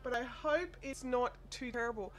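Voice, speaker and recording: An Australian woman hosting a casual craft live stream, chatty and apologetic, her voice made deeper and nasal by a chest cold and sore throat. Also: moderate pace, rough recording. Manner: chatty, apologetic, reads viewer comments aloud. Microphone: desk microphone. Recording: faint air conditioner hum.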